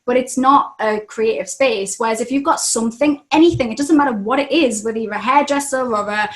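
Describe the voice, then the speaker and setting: Frank, higher-voiced Geordie woman. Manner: frank and outspoken, swears casually, quick laughs. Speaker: podcast guest, actress. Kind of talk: casual conversational interview.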